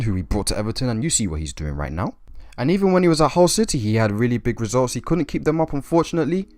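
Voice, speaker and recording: A man's voice: on the low side, darkish tone. A young man hosting a football countdown, talking through his picks with chatty, opinionated asides. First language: English. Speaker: football video host. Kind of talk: scripted countdown commentary.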